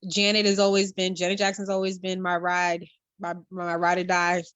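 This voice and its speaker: A woman with a slightly low voice chats casually as an interview guest. She thinks aloud in short phrases, and the sound is very dry. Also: completely flat delivery, normal speed, a bit bright, clean tone, dry recording. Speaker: interview guest, stage performer. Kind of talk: casual chat.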